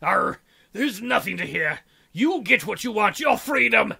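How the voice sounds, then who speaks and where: A man voicing a gruff, wounded pirate captain from a visual novel, with arrs and old sailor talk. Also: high-pitched, very animated, a little slow, sharp tone, full character voice. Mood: bitter, resigned. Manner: growled pirate "arr" and "ye". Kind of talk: visual novel role reading.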